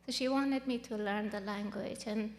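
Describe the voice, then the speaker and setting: A woman in her thirties from Iran, whose first language is Farsi, telling her own story in an interview, earnest and halting with many fillers. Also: medium pitch, steady tone, long reverb tail, slight background noise. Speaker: guest. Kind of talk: personal testimony interview.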